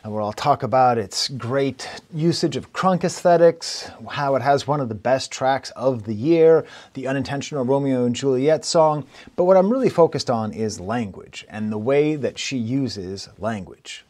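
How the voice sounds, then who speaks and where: A man's voice: medium pitch, animated, normal speed, a little mellow. A man in his forties from the Northeastern US, giving a wry, self-deprecating video essay from a home study with bookshelves. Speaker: music reviewer and language academic.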